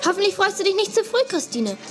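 A woman playing a cheeky, excitable, loyal girl in a children's audio drama. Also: very high voice, fast talker, faint music behind, full character voice.

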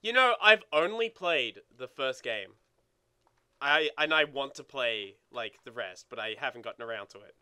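A young man co-hosting a video game let's play in casual chat, excitable and sweary, often repeating words as he gets going. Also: medium pitch, normal speed, smooth.

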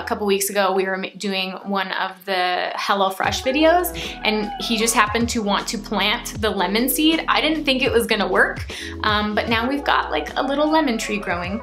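A young woman chats her way through a home tour, upbeat and easygoing, with plenty of little fillers. Her voice sits at an ordinary middle pitch. The small rooms make it ring a bit, and soft music plays underneath. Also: echoey room. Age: young adult. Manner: chatty and upbeat, with frequent fillers. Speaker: home vlogger. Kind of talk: casual house tour vlog. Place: small rooms of a house, indoors.

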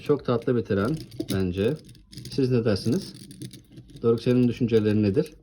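A woman with a very deep, mellow voice presents toys in a casual chat. She is encouraging and often puts questions to her young co-host. There is faint noise behind her. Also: normal speed. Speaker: host presenting toy trains with a child. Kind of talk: casual toy showcase chat.

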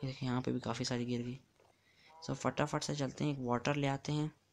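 A man talks his viewers through a block-building game in easy, chatty commentary, counting blocks aloud as he goes. His delivery stays flat and even, close to a mid pitch. A faint hiss of background noise sits under his voice. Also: fairly quick, creaky. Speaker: gamer.

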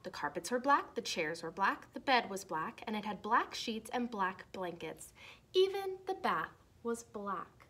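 A young woman reads a children's picture book aloud in an expressive, deliberate way for young listeners. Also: mid-range voice, slightly rough. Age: young adult. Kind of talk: storybook read-aloud. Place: small decorated room indoors.

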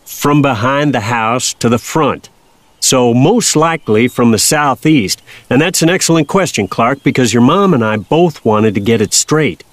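A folksy older farmer played by a male actor reminisces as a patient, wry father in an audio drama. His talk is plain and homespun, recorded close and dry at a middling pitch. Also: animated, measured pace, dry recording, natural voice.

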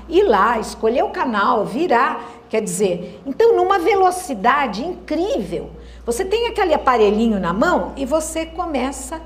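A woman's voice: high-pitched, reverberant. A woman with a Brazilian Portuguese accent giving a university lecture, thoughtful and searching for words, often asking the audience rhetorical questions. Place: lecture hall.

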